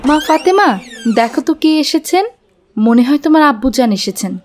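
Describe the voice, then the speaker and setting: A woman's voice: high voice, animated, moderate pace, slight background noise. A woman voicing a pious, principled, admonishing mother in a cartoon. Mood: gentle. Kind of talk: Bangla cartoon dubbing.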